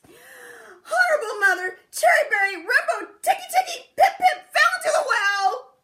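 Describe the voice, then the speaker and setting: A breathless little boy pleads in a high, crying shout. His voice is bright and strained. A woman acts him out in a storybook reading. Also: sing-song, normal speed, heavily acted. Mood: frantic.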